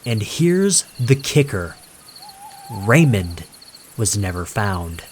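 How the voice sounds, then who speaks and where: A man's voice: fairly low pitch, big pitch swings, takes it slowly, slight background noise. Man acting a cocky, hyped-up ghost-hunting streamer. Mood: dramatic storytelling. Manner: showy, confident, dramatic storyteller. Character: cocky young male ghost-hunting livestreamer hyping his audience. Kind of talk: horror story narration, character dialogue.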